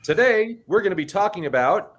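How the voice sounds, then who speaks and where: A middle-aged man co-hosting a podcast in casual chat, talking in a big loud voice. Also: high voice, brisk. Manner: animated, self-described loud talker with a big voice. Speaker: podcast co-host.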